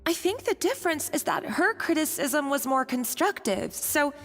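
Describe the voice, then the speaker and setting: A flustered young cook, high-pitched and stammering, always on the verge of tears. Her apologetic voice sits in echoey game audio with faint music beneath. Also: big pitch swings, moderate pace, a bit bright, exaggerated voice. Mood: earnest. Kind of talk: video game voice acting.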